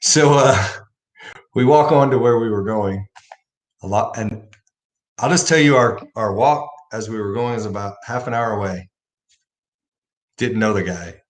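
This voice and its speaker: A man's voice: on the low side, measured pace, long pauses. A man hosting a livestream, talking casually about faith and telling a personal story with rising emphasis. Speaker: livestream host.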